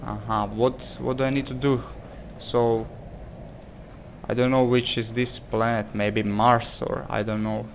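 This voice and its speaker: A man's voice: mid-range voice, little pitch movement, a little slow, vocal fry, slight background noise. A man from Croatia with a Croatian accent, casually commenting on a game, hesitant and exclamatory, reading its text aloud. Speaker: gameplay commentator. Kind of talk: casual let's-play commentary.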